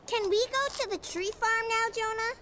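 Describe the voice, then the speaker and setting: A performer voicing a curious, excitable four-year-old boy in a children's cartoon, eager and full of questions. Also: extremely high pitch, normal speed, slight background noise.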